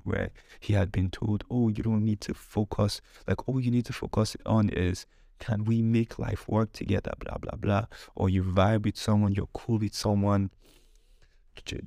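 A man's voice: lowish voice, vocal fry, a little mellow, no echo, studio quality. A man hosting a podcast, telling stories casually and with humour, acting out dialogue as he goes. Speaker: podcast host. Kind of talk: casual humorous storytelling podcast monologue.

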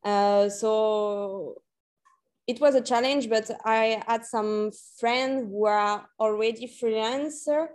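A woman's voice: on the high side, takes it slowly, a little mellow. A woman in her late twenties with a French accent, a native French speaker, answering interview questions conversationally and searching for her words. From France and New Caledonia. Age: late 20s. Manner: hesitant, searching for words in a second language. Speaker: podcast guest, freelance journalist and copywriter.

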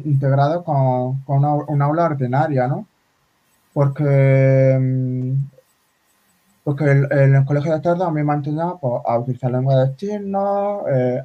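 A man in his forties whose first language is sign language, talking in a casual interview with many halting restarts. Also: mid-range voice. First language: Spanish Sign Language. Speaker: guest. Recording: slightly dull lo-fi sound.

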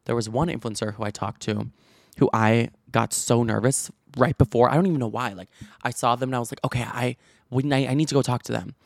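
A man with a stutter chats and gossips as a podcast host, his voice low and gravelly. He fills his talk with likes and restarts, at a middle pitch. The sound is dry and close. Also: steady tone, brisk, no room sound. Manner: chatty, gossipy storytelling with many likes and restarts. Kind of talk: casual chat.

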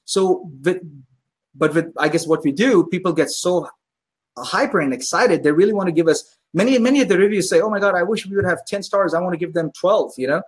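Husky-voiced male restaurant owner, guest on an interview podcast, upbeat storyteller. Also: high voice, fairly quick. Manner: enthusiastic storyteller, acts out customers' voices. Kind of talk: casual interview chat.

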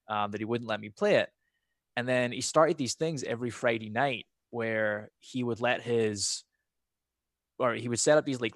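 A man's voice: mid-range voice, a bit bright, dry recording. A man from Scotland with a half Scottish, half American accent, chatting casually as a podcast guest and telling stories in a rambling way. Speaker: podcast guest, former pro gamer. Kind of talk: casual chat, personal storytelling.

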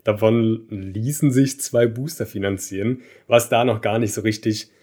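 Relaxed storytelling German man. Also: on the low side, moderate pace. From Berlin, Germany. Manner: relaxed storytelling with frequent fillers and laughs. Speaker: podcast guest. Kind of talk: casual conversation.

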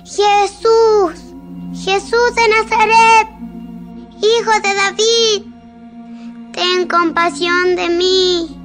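A woman's voice: extremely high pitch, fairly even intonation, extremely slow, long pauses, over music, full character voice. A woman playing a devout, hopeful young girl in a radio drama, tender and earnest, with a Chilean accent. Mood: pleading.

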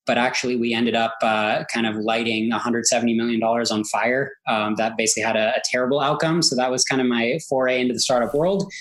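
A man's voice: mid-range voice, flat delivery, fast, few pauses, a bit bright, rough recording. An American man in his thirties from Silicon Valley, chatting casually as an interview guest, peppering his talk with filler words. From Silicon Valley, United States, born in Israel. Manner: talkative, peppers speech with "like" and "you know". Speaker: interview guest, tech entrepreneur. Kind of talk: casual chat.

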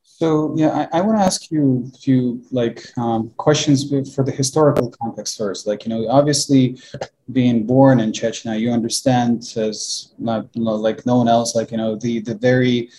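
A man hosting an interview, speaking in a hesitant, halting way with many restarts. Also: mid-range voice, fairly even intonation, mellow, low-quality recording. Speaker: host.

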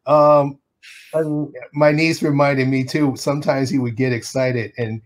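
Man, middle-aged, from Detroit, lively storyteller, acts out voices, laughs a lot. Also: medium pitch, measured pace. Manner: animated storyteller who acts out quotes and laughs often. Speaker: animation artist guest. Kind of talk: casual storytelling interview.

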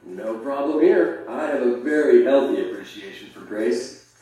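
A man's voice: mid-range voice, animated, moderate pace, warm tone, natural voice. An actor playing a cocky, carefree young male graduate in a theatrical audio drama, with breezy, formal phrasing. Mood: smug, breezy. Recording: drama playback in echoey room, distant.

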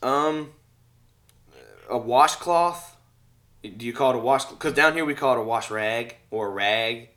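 A man with a drawl hosts a relaxed podcast. His voice sits at an ordinary middle pitch. He rambles through his points and chuckles between remarks. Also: measured pace, long pauses. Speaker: podcast host. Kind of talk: casual interview chat.

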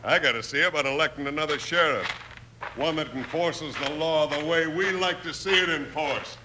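An actor playing a smug, menacing American crooked town boss in an old-time radio western, sneering and threatening. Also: male voice, fairly high pitch, normal speed, lightly acted. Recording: noisy old radio broadcast recording.

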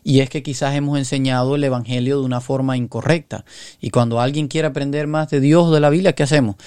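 A man's voice: mid-range voice, fairly even intonation, quick pace, darkish tone, clear, resonant tone, dry recording. Male co-host, earnest and teaching, recorded dry and close. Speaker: podcast co-host.

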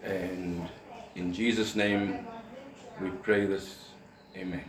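A man's voice: deep voice, level tone, takes it slowly, a crowd in the background. A man from a church group, speaking informally as in prayer or friendly talk with the others. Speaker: church member leading prayer. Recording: echoey room, distant, people around.

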